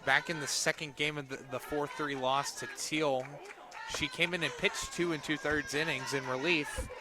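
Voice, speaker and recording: Male play-by-play announcer with a somewhat high voice, calling softball live on radio from an outdoor ballfield. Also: fairly even intonation, normal speed, noisy background. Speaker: play-by-play sports commentator.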